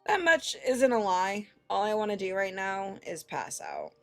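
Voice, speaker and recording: A woman's voice: mid-range voice, very animated, measured pace, a bit bright, faint music behind. A woman streamer reading a visual novel aloud expressively, with casual, sweary reactions.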